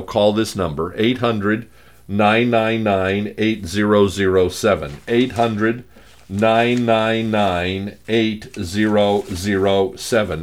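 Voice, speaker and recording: A man with a gravelly voice, hosting a talk show and speaking in a halting way with many fillers and restarts. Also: fairly low pitch, fairly bright tone. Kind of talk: conversational radio talk.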